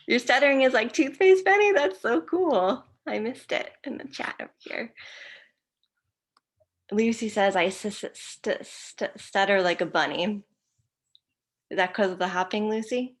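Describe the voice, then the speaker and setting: Woman moderator with an open stutter, mid-pitched, upbeat, laughs often, video call. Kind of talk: casual video-call chat.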